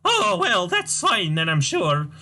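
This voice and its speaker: A man reading a comic aloud, voicing a nagging old mother in a high, quavering, scolding voice. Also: huge pitch swings, takes it slowly, pushed and bright, exaggerated voice.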